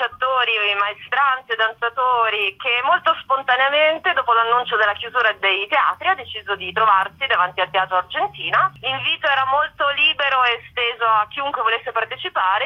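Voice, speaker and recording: A woman speaking as a spokesperson for performing arts workers in a live radio interview. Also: a little high. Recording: dull, muffled phone line.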